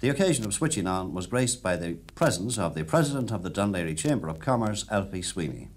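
A man's voice: sits low, sing-song, fast talker. An Irish man reporting and interviewing in a jocular 1950s radio style, recorded on a street for an old newsreel. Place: outdoors on a busy street. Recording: noisy 1950s archive outdoor radio recording.

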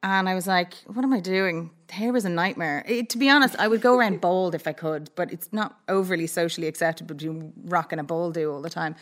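A woman's voice: lowish voice, fast, hardly any pauses. A young woman, a guest on a talk show, telling her story in a plain, matter-of-fact way. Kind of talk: casual interview chat.

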